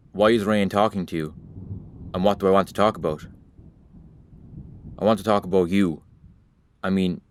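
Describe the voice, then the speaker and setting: A man's voice: sits low, slight background noise, natural voice. A man playing Rain as a weary observer of humanity, addressing the listener directly in a wry, reproachful audio drama monologue. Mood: knowing, wry.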